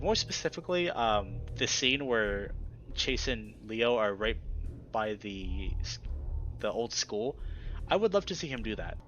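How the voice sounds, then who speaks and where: A man reading a visual novel aloud and commenting casually as a video host, expressive when he reacts. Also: mid-range voice, slight background noise. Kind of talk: casual let's play commentary and narration. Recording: slightly dull recording with background music. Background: game music.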